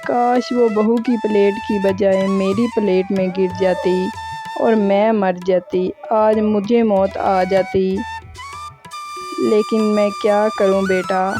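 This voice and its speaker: A woman's voice: mid-range voice, level tone, slow pace, over music, natural voice. A woman voicing a scheming older mother-in-law in a cartoon horror story, dramatic and lamenting. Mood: feigned grief.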